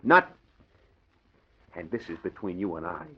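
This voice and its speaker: Blustering, animated small-time gangster. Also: male voice, low voice, measured pace, husky, full character voice. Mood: bossy. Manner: slangy, blustering tough talk. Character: excitable small-time male gangster henchman, bossy and suspicious. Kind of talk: 1940s radio crime drama acting. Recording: dull old 1940s radio recording.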